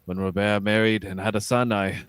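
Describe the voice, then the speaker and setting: A man playing a dignified, ageing, reserved starship captain with measured, formal delivery in a sci-fi radio theatre read. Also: lowish voice, little pitch movement, clean tone, dry recording, close to an everyday voice. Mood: melancholy.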